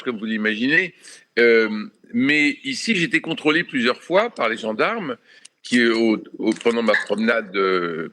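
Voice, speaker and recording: A man, a writer, speaking as a panel guest in a calm, measured way. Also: medium pitch. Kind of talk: panel discussion by video call.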